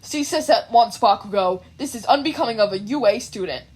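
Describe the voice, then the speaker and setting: A woman's voice: on the high side, animated, fairly quick, a little mellow, husky. A woman voicing a strict, rule-bound teenage boy who speaks formally and commandingly. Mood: indignant. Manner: stern, commanding.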